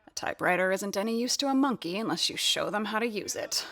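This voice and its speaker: An actress voicing a wry, sharp-tongued woman who does radio sound effects in a 1930s theater, narrating in character in an audio drama. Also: mid-range voice, animated, quick pace, natural voice. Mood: stern. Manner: wry, sardonic.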